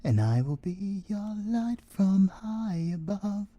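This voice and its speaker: A British man playing a possessive, scheming siren in an ASMR audio roleplay, seductive and theatrical, with drawn-out words and whispers. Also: sits high, expressive, warm tone, no room sound, full character voice. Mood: menacing, singsong. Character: possessive, scheming male siren, obsessive lover and villain.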